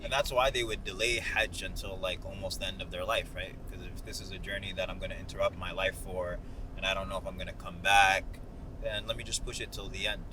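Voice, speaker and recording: A man's voice: deep voice, steady tone, a little fast. A man giving casual, slangy explanations of religious matters as a vlog host, talking inside a car. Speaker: host of a ride-along video. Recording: clear car and outdoor noise. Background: car and road noise.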